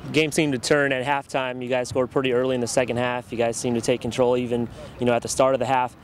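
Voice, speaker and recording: A man asks post-match questions as a sports interviewer. He talks fast in a mid-pitched voice. He is recorded outdoors, with faint noise in the background. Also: level tone. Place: outdoors on a soccer field.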